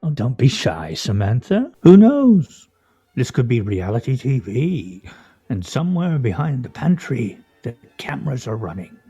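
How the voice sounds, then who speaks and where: Actor playing a pompous, droll man nearing fifty, dark-voiced. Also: mid-range voice, very animated, a little slow, slightly rough, soft background music, exaggerated voice. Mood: grandiose, playful. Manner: pompous, droll, ornate phrasing. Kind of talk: radio play acting.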